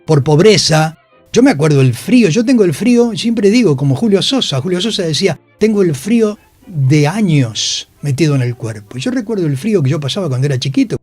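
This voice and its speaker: A man's voice: fairly high pitch, lively intonation, brisk, darkish tone, breathy edge, no echo, soft background music. An Argentine man telling stories as a radio interview guest, emphatic, repeating phrases for stress and swearing freely. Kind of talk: animated storytelling in a radio interview. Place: radio studio.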